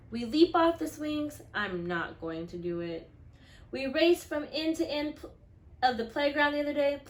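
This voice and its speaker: A woman reading a children's picture book aloud expressively, acting out the dialogue and pausing to put questions to listeners. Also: on the high side, reverberant, a little hiss or hum. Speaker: read-aloud storyteller.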